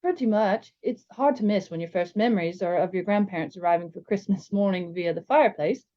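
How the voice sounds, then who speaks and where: A woman's voice: medium pitch, a little fast, warm tone, lightly acted. A woman voicing a modest, friendly young wizard boy in a storybook, casual and understated. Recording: slightly dull, lo-fi sound.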